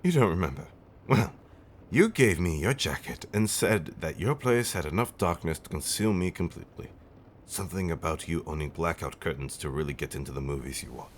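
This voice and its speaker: A man acting as an ancient, loving vampire husband with a low voice. He spins his tale theatrically in antique phrasing, teasing and tender, swinging between dramatic swells and hushed murmurs. It is an ASMR roleplay with faint ambience behind him. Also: animated, fairly quick, a little hiss or hum. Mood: wistful, fond. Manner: theatrical, old-fashioned wording, teasing, dramatic swells and whispers. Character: affectionate, theatrical centuries-old male vampire husband.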